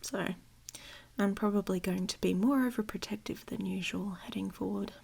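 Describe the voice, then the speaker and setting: A woman playing a gruff but protective werewolf in an intimate ASMR romance roleplay, speaking bluntly and haltingly in a husky voice that often drops to a whisper. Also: medium pitch, a little mellow. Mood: protective, uneasy. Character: gruff, grumpy, protective she-wolf shifter woman, secretly affectionate.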